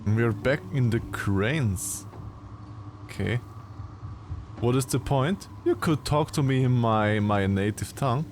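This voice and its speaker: A man's voice: mid-range voice, slow pace, pauses often, a little mellow, a little hiss or hum. A man with a German accent, a native German speaker, chatting casually and teasingly with viewers while he plays a game on a live stream. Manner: playful, teasing, chuckles often. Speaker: VTuber streamer.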